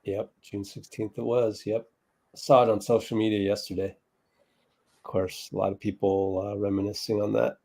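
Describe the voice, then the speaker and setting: A man's voice: lowish voice, level tone, normal speed, creaky, a little mellow. A man hosting a casual livestream chat, talking in an offhand way while juggling tasks. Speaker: livestream host. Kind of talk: casual live-stream chat.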